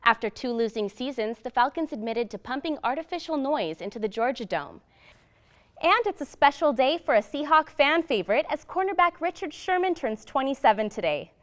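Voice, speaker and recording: A woman's voice: high-pitched, sing-song, brisk, fairly bright tone. A young woman delivering scripted TV news in a presentational broadcast manner, as a reporter and anchor.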